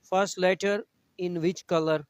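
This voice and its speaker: A man from Pakistan whose first language is Urdu, teaching a Quran lesson over a video call in halting English with many pauses. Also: high-pitched, steady tone, dry recording. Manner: halting English with frequent pauses and throat-clearing.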